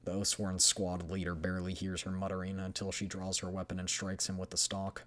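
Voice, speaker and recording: Low-pitched streamer reading a game story. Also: completely flat delivery, fairly quick, a bit bright. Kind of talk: scripted game story narration with casual asides.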